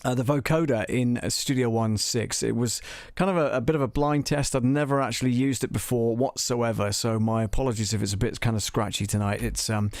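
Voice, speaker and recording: A man giving a casual live music software tutorial, talking informally and hesitantly with a self-deprecating manner. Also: mid-range voice, steady tone, a little fast, few pauses, no room sound, studio quality. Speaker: host of a music production livestream.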